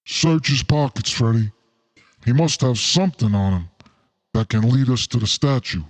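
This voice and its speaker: A suave crime boss from a noir radio play, acted by a man. He speaks in a formal, genteel way at a middling pitch, with a faint menace under the courtesy. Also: expressive, normal speed, close to an everyday voice. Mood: commanding. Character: suave scheming crime boss Fat Cat, noir villain.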